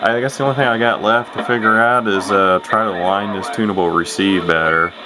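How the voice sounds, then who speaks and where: A man's voice: on the low side, steady tone, fairly quick, crowd noise. A man giving a casual, unscripted hobby demonstration, rambling and hesitant, with a self-deprecating way of talking, recorded on a camera microphone. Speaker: vintage radio hobbyist presenting his own video. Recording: clearly noisy home camera recording. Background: clear background noise.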